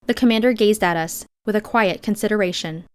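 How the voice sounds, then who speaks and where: A woman narrating an audiobook in the first person, with measured, expressive storytelling. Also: mid-range voice, clean tone.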